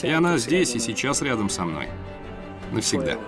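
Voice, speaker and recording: A man reads a voice-over translation in an even, unhurried way. His voice sits at an ordinary middle pitch for a man. Loud music plays underneath. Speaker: voice-over interpreter. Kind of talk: dubbed self-introductions, read evenly. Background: background music.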